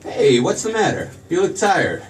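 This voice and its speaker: A restless, eager young man played by an actor in an old-time radio play, with a highish voice that rises and falls freely. He stammers over his words and sounds set back from the mic. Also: wildly swooping pitch, moderate pace. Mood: eager, affectionate. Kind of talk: 1940s radio drama acting. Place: theater stage. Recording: distant stage pickup with faint noise.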